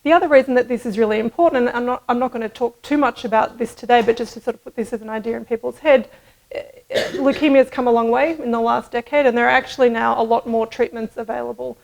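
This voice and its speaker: A middle-aged woman giving a scientific conference talk at a podium microphone, explaining in a measured way with some hedging. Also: a little high, steady tone, creaky, low-quality recording. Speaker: medical researcher presenting at a conference. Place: indoor conference room, at a lectern.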